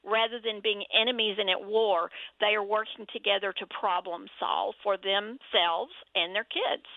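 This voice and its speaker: A woman with a Texas accent, a family lawyer explaining her work in measured answers as an interview guest. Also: medium pitch, steady tone, creaky, dry recording. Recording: tinny phone line.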